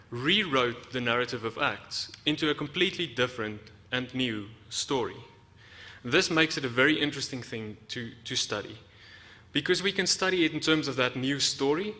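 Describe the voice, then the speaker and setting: A man from South Africa giving a prepared academic lecture, explaining in a measured way with deliberate emphasis. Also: medium pitch, bright, forceful tone. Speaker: academic researcher giving a talk. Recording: reverberant hall.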